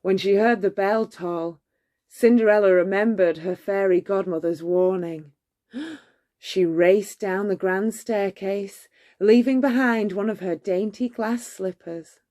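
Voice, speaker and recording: Female storybook narrator: mid-range voice, slow measured delivery, expressive read-aloud style for bedtime. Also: medium pitch. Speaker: storybook reader.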